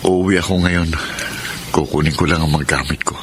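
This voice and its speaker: An actor playing a hurt, bitter husband in a Filipino radio drama, with a Filipino accent and a reproachful manner. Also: male voice, low voice, steady tone, fairly quick, very noisy, close to an everyday voice. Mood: subdued, resolved. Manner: wounded, reproachful. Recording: clear music bed under the voice.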